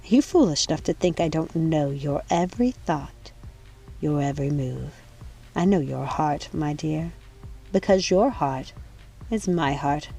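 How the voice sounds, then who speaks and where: Cold witch matriarch, played by a woman reader, low-pitched, commanding, menacing, deliberate, storybook villain, music behind. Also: expressive, vocal fry, warm tone, husky, heavily acted. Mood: gloating menace. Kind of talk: audiobook villain dialogue.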